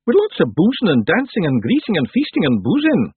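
A man's voice: high-pitched, huge pitch swings, brisk, slightly rough, dry recording, narrow, tinny audio, pitched above the performer's own voice, full character voice. Storybook fairy: small Scottish man, boisterous and blunt, raised swooping voice. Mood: cheery, blunt. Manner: boisterous, cheeky, earnest.

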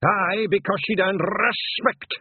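A man's voice: on the high side, animated, normal speed, husky, no room sound, pitched above the performer's own voice. A man voicing a proud, testy, ancient tiny pixie piper with a Scottish accent and a scolding manner in a storybook. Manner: scolding, dialect-heavy. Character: proud, testy, tiny ninety-six-year-old male pixie piper. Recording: narrow phone-like lo-fi recording.

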